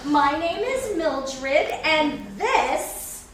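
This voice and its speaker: A woman acts out a jolly food service worker in a stage play, teasing and rambling with little chuckles. Her very high voice takes its time and bounces about, heard from afar in a noisy, echoing hall. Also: big pitch swings, exaggerated voice. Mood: chatty, teasing. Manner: rambling, halting, punctuated by chuckles. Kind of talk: staged play reading before an audience. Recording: echoey hall, distant mic, background noise.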